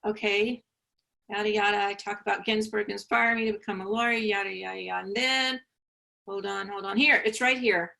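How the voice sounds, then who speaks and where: Woman acting a bright-voiced, self-absorbed mother. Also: mid-range voice, steady tone, a little fast, creaky. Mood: eager, coaxing. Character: self-absorbed, placating mother, a career-minded lawyer. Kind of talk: virtual staged reading of a play.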